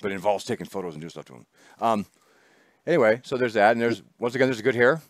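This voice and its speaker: Low-voiced rambling man. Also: fast talker, no room sound, clean studio sound. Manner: chatty, rambling, laughs often. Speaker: host. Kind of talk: casual chat. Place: small studio.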